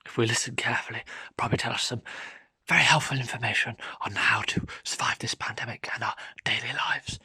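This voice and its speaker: A man playing a hushed, mock-solemn wildlife documentary narrator. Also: mid-range voice, steady tone, fast, slightly rough, dry recording, in a whisper, heavily acted. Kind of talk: parody nature documentary narration.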